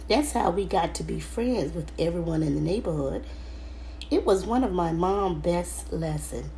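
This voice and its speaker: A woman reading a children's book aloud in first person, halting now and then over the words. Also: lowish voice, vocal fry, dark tone, a little hiss or hum, low-quality recording. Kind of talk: storybook read-aloud narration, first person.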